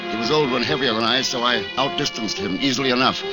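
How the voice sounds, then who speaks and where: A man's voice: medium pitch, fast talker, close to an everyday voice. An actor playing a hunted man who narrates his spy adventure in a tense, dramatic old-time radio style. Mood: urgent. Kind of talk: 1940s radio acting, dramatic first-person narration. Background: music.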